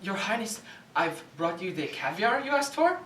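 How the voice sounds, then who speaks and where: A woman's voice: mid-range voice, big pitch swings, moderate pace, fairly bright tone. A woman playing an exasperated, sarcastic male hotel manager with a Spanish accent in a staged cartoon reading. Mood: resentful. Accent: Spanish, put on for the role. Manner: aggrieved, sarcastic complaining. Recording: echoey room, distant mic, faint noise.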